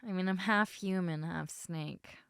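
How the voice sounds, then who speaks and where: A woman voicing an affectionate, teasing half-snake lamia in a casual, intimate audio roleplay, chatting informally with halting, trailing thoughts. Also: fairly low pitch, gravelly, a bit bright, clear, resonant tone, dry recording, studio quality. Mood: mildly exasperated.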